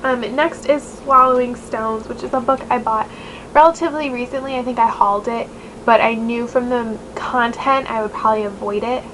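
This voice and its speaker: A woman's voice: on the high side, fairly even intonation, normal speed, fairly bright tone. Nasal chatty young woman, noisy recording. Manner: chatty, rambling, many fillers. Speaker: book vlogger. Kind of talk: casual chat to camera.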